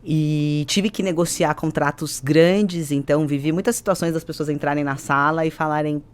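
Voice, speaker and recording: A woman's voice: low-pitched, fairly even intonation, normal speed, smooth. A woman with a Brazilian accent speaking as a podcast guest, explaining casually and often checking in with her listener. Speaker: podcast guest, business executive. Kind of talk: casual interview talk.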